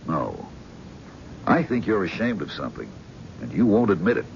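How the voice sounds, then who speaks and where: An actor playing a calm, probing male psychiatrist who speaks in a measured, frank way. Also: deep voice, creaky, a little hiss or hum, heavily acted. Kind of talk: 1970s radio drama acting. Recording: dull vintage radio broadcast.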